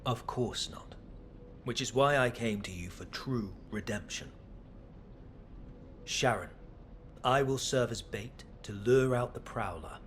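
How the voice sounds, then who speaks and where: A man playing a composed, calculating scientist official in a video game, speaking in a measured, formal, condescending way. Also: medium pitch, a bit bright, slight background noise. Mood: calm, calculating. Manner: measured, formal, breathy in places. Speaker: voice actor. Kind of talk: dramatic game dialogue.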